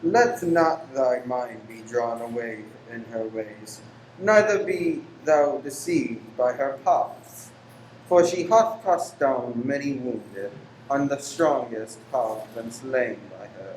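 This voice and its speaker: An actor in a radio play voicing a sinister, pious lodger with a formal, stiff and courteous way of speaking. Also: male voice, mid-range voice, slow pace, darkish tone, natural voice. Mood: solemn, preaching. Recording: roomy echo, faint noise.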